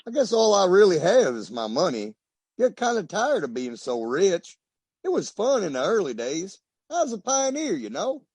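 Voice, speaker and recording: A man's voice: high-pitched, huge pitch swings, normal speed, a little mellow, husky, no echo, full character voice. An actor playing a lonesome, boastful, self-pitying pickle tycoon in a 1940s-style radio comedy, rambling as he talks. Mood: wistful.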